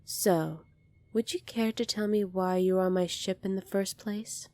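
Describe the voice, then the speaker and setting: Mellow, poised alien princess played by a woman. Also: medium pitch, steady tone, dry recording, lightly acted. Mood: curious, courteous. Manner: polite, measured, regal and formal. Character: poised, kindly young alien princess, firm when crossed. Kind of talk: ASMR roleplay acting.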